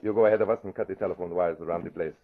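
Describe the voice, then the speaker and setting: An actor playing a gloating, menacing spy villain with formal, deliberate phrasing, in 1940s radio drama style. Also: male voice, low-pitched, steady tone. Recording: muffled vintage radio recording.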